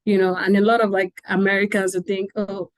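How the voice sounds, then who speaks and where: A woman's voice: medium pitch, flat delivery, fairly quick, dry recording. Woman from nigeria hosting a video call panel, laughs a lot, lots of likes and ums, dry sound. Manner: chatty, laughs often, many fillers. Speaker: interviewer. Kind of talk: casual panel conversation.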